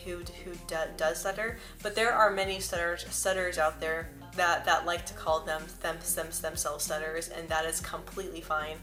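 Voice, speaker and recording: A young woman vlogging to camera in a casual, earnest way, stuttering often with repeated sounds and words. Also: lowish voice. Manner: earnest and personal. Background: soft background music.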